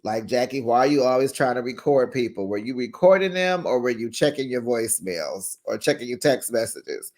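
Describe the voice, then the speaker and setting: A man in an easy, gossipy recap. His voice sits at an ordinary middle pitch. He jumps up in pitch to act out what others said, then drops back to his own talk. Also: lively intonation, a little fast, hardly any pauses, a little mellow. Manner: chatty, acts out quotes with raised pitch, laughs often. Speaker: recap show host. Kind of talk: casual recap commentary.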